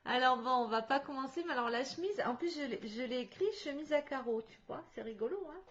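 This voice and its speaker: A woman working as a medium in a spirit contact session over a video call. She talks in halting restarts and laughs often. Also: sits high, a crowd in the background. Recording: slightly dull video call audio.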